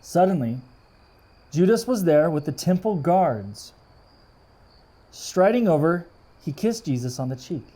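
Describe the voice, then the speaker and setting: A man narrates a children's storybook with animated pitch and an unhurried pace. He explains as he goes and puts questions to his young listeners in a mellow tone. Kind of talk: expressive children's read-aloud with asides.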